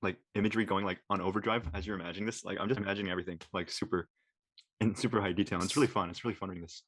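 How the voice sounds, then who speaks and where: A man with a low voice talks quickly and casually as a performer among castmates. He is halting, doubling back on his words. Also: fairly even intonation, fairly bright tone, a little hiss or hum. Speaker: cast member of an audio drama. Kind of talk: casual panel discussion.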